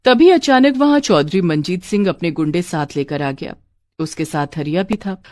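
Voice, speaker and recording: A woman's voice: lowish voice, expressive, moderate pace, a little mellow, dry recording, studio quality. A woman narrating a scripted cartoon story as a storyteller.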